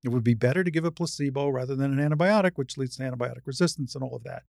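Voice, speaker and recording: A woman in her sixties with a gravelly, fry-edged voice talks as an interview guest. She explains step by step, emphatic and didactic, in a very dry recording with no room sound. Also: extremely low pitch, very fast, a little mellow, no echo. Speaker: interview guest, retired surgeon and advocate.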